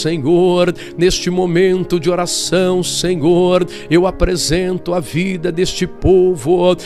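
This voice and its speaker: A man's voice: high-pitched, vocal fry, darkish tone. A man leading a fervent intercessory prayer as a pastor, pleading in rhythmic, repetitive refrains.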